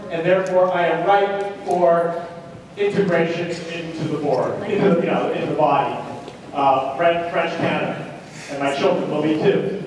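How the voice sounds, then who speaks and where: High-voiced male lecturer in an echoing hall. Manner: animated, rhetorical questions and check-ins. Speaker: university lecturer. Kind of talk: conversational lecture with questions to students. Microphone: distant room mic. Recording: roomy echoing lecture hall, distant mic, noisy.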